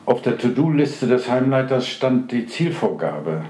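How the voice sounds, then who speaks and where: A man's voice: mid-range voice, sing-song, measured pace, warm tone. An actor playing a grumbling, defiant elderly care home resident telling his story in a radio drama, in a noisy, echoey room. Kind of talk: naturalistic radio drama monologue.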